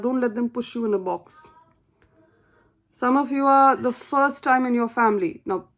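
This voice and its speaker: A woman whose first language is not English, giving an earnest, encouraging tarot reading and repeating key phrases for emphasis. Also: mid-range voice. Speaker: tarot reader.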